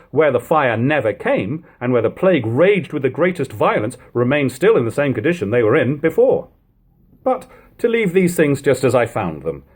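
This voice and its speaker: A male narrator with a slightly rough, husky voice reads old-fashioned prose. His pitch moves animatedly through the long sentences, and he leans on key words with deliberate pauses. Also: darkish tone. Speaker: audiobook narrator. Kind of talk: dramatic audiobook reading of 18th-century prose.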